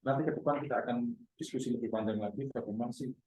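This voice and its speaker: A man's voice: mid-range voice, racing, mellow, rough recording. A man with a Javanese Indonesian accent informally moderating a webinar, encouraging participants to join in. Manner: encouraging, inviting participants to speak.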